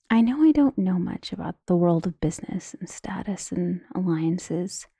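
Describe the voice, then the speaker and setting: A devoted maid voiced by a woman speaks in a hushed, soft and mellow voice with a creaky edge. She is earnest and deferential, confiding like a conspirator in an audio drama. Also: on the low side, no room sound, clean studio sound, full character voice. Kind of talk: dramatic audio roleplay acting.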